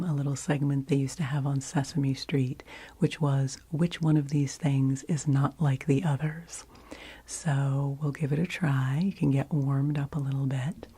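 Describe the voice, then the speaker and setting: Woman doing asmr, low breathy whisper, super slow and gentle, walks you thru stuff step by step. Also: flat delivery. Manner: slow, gentle, instructional, repeats phrases. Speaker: host of a relaxation ASMR show. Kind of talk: whispered ASMR, leading word games.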